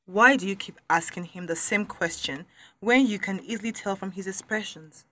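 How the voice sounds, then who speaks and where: A woman's voice: mid-range voice, moderate pace, a bit bright, no echo, natural voice. A woman playing a sassy young troublemaker with a Nigerian accent, taunting and sarcastic in a radio drama. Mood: mocking.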